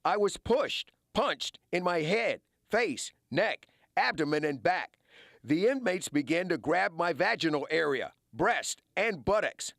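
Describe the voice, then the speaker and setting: Male TV investigative reporter, American accent, fairly high-pitched, delivering broadcast news copy. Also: big pitch swings, measured pace, gravelly, fairly bright tone, no echo, clean studio sound.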